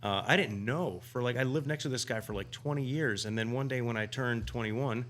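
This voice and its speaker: A man's voice: mid-range voice, a little fast, fairly bright tone, a little hiss or hum. A man talking casually on a podcast as a guest, rambling with many restarts and fillers. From United States. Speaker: guest homebrewer.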